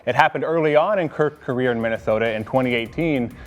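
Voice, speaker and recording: A man's voice: mid-range voice, fast talker, long reverb tail, faint music behind. An American man talking casually as a sports analyst on a team show.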